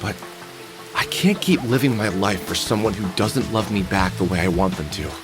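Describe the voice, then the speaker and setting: A man's voice: lowish voice, expressive, moderate pace, over music, close to an everyday voice. A man playing a weary, skeptical middle-aged protagonist nursing heartbreak in a visual novel game, speaking in a hesitant, conversational way. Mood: sombre.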